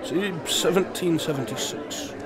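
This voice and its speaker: A man's voice: a little high, measured pace, a crowd in the background, natural voice. A man playing an eccentric, observant detective in an audio drama, speaking in a precise, formal, absent-minded way. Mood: curious, composed.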